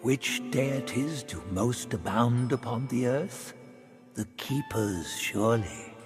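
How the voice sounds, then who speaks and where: A man playing a wry, worldly storyteller in a video game, with measured, theatrical, archaic phrasing. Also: medium pitch, over music, lightly acted. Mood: knowing. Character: wry, worldly male storyteller reflecting on fallen souls. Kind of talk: scripted dramatic game narration.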